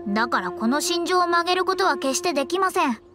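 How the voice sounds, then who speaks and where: A woman's voice: extremely high pitch, expressive, fast, music in the background. A woman playing a proud young female astrologer in an anime game, formal, earnest and lecturing.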